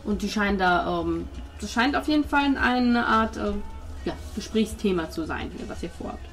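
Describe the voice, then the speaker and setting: A woman's voice: mid-range voice, expressive, normal speed, a bit bright, faint music behind. A woman improvising narration as game master of a tabletop role-playing game.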